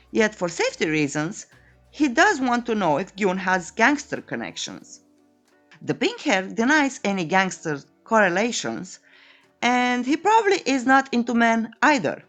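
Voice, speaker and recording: A woman's voice: medium pitch, fairly bright tone. A woman reading a scripted story recap as a narrator, in a playful, tongue-in-cheek way. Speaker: narrator of a comic recap channel.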